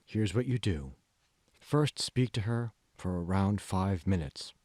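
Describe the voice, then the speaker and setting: Audiobook AI assistant, played by a man: low, slow, smug, manipulative, condescending, very dry sound. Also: expressive, darkish tone, no room sound, full character voice. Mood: instructing. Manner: slow, condescending, coolly controlling. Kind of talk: audiobook character voice.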